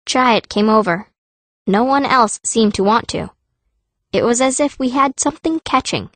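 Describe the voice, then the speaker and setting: A woman's voice: on the high side, animated, moderate pace, fairly bright tone, no echo. An actress voicing a fierce, blunt young girl mage who narrates an audiobook in the first person. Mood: narrating. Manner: blunt, youthful.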